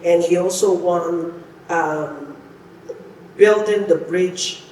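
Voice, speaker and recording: A woman's voice: lowish voice, steady tone, extremely slow, darkish tone. Lady podcast host, emphatic, repeats stuff for effect, echoey room w a bit of noise. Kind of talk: spoken podcast introduction and interview. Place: indoor podcast studio. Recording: echoey, reverberant room, distant mic, faint noise.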